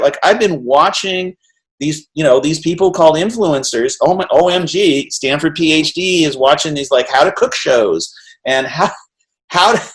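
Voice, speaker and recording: A middle-aged man with a fairly high voice, hosting a conversation over a video call. He talks in quick, rambling bursts, laughing and tossing in "right?" to keep his guest with him. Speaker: interview host. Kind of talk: animated casual conversation.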